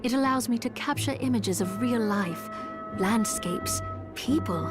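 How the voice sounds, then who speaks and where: A woman's voice: fairly high pitch, lively intonation, measured pace. A woman playing a composed, courteous but firm church merchant in a video game. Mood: businesslike. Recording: loud music behind the voice.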